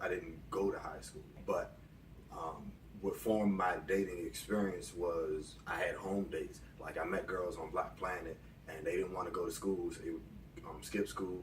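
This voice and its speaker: Deep-voiced young American man, echoey room. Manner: candid, colloquial. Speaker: interview guest. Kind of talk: casual personal storytelling. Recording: echoey room, distant mic, background noise.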